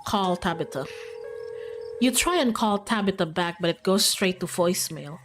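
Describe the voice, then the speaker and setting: A woman's voice: lowish voice, animated, moderate pace, soft background music. A woman streamer reading game narration aloud and dropping in casual reactions.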